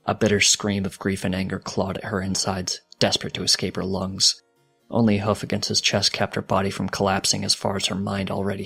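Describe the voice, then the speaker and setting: A man reading fiction aloud as a dramatic, solemn narrator with a deliberate delivery. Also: low-pitched, gravelly, a bit bright, slightly rough. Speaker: fanfiction narrator.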